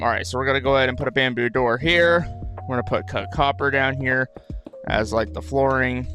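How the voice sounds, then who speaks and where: Male gaming host, mid-pitched, casual and rambling with repeated phrases, dry just-woke-up throat, music underneath. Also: a bit bright. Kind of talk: casual game commentary.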